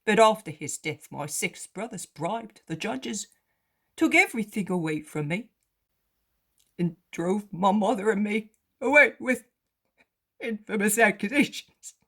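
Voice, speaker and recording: A man's voice: high-pitched, animated, a little slow, creaky, long pauses, sharp tone, pitched above the performer's own voice, exaggerated voice. A woman voicing a poor, humbled male beggar who pleads and repents. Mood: earnest. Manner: earnest, pleading.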